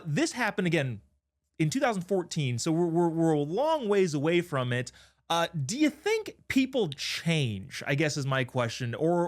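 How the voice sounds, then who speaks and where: An American man hosting a political talk show in a casual, joking, bantering way. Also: a little high.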